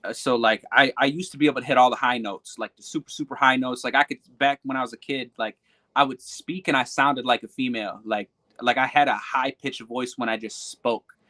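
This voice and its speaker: A man's voice: mid-range voice, steady tone, a little fast, bright, forceful tone. A man who raps and sings, talking casually as a guest, rambling with lots of fillers and repeated words. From Michigan. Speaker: guest hip-hop artist. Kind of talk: casual interview chat.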